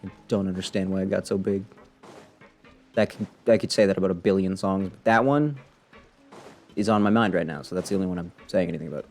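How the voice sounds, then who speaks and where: A man's voice: low voice, fast talker, gravelly, pauses often, darkish tone. A man giving casual, slangy live commentary as a game streamer, wry and rambling. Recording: voice over faint game music.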